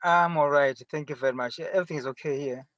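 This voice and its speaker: A middle-aged man with a Zimbabwean accent answering interview questions conversationally from inside a car, often pausing and rephrasing. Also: a little high, a bit bright, no room sound, lo-fi sound. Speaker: interview guest, farmer. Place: sitting in a parked car.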